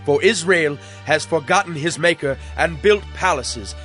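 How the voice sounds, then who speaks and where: Wrathful God for dramatized scripture: fairly high male voice, grave and declamatory, background music. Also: a bit bright. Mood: stern judgment. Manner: solemn, declamatory, deliberate phrasing. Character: wronged, wrathful male God pronouncing judgment through prophecy. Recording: music bed under the voice.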